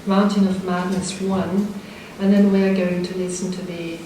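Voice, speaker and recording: A woman moderator poses long, winding questions, pausing on small hesitations. She sounds far from the microphone in a very echoey hall, with a noisy background. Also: lowish voice, level tone. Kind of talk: moderating a literary event. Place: literature house event hall.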